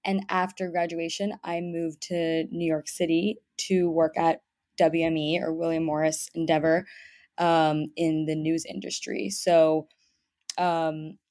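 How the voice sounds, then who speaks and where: A woman's voice: sits low, level tone, a little slow, darkish tone, no echo, clean studio sound. A woman in her thirties from Miami, chatting casually with fillers as a podcast guest. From Miami, Florida, US. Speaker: guest, head of growth. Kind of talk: casual interview talk.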